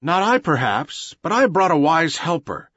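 A man's voice: fairly high pitch, sing-song, normal speed, fairly bright tone, husky, no room sound, dull audio, full character voice. A man reading aloud and voicing a loyal young male wolf who speaks in a knowing, matter-of-fact way.

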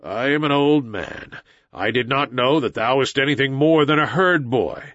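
A man's voice: medium pitch, expressive, normal speed, fairly bright tone, no room sound, muffled recording, close to an everyday voice. A man voicing a frightened, servile old village hunter in a storybook, pleading humbly. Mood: fearful.

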